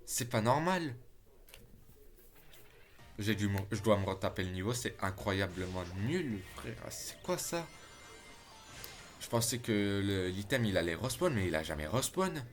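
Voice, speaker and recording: A man's voice: fairly low pitch, unhurried, long pauses, soft background music. A man streaming a video game, chatting casually with his viewers and swearing when the game frustrates him. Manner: excitable, chatty, addresses chat as "les gars". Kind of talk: casual gameplay commentary.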